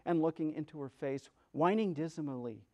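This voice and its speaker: A man reading a children's story aloud as a storyteller, in a theatrical, deliberate way. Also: fairly high pitch, mellow.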